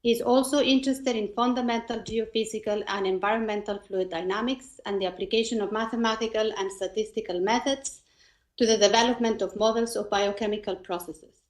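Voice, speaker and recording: Echoey, higher-pitched woman reading an introduction. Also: steady tone, a little fast. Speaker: seminar host introducing the speaker. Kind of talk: read-out formal introduction. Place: indoor room, online seminar. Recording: echoey room on video call.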